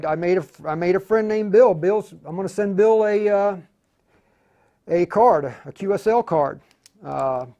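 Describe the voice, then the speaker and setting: A man's voice: on the high side, darkish tone. A man hosting a casual hands-on livestream tutorial, explaining in an informal, stop-and-start way as he thinks aloud. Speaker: livestream tutorial host, amateur radio operator.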